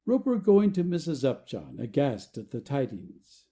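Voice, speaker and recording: Very mellow, expressive male narrator. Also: medium pitch, measured pace. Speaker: narrator reading stage directions. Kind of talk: scripted narration of a play.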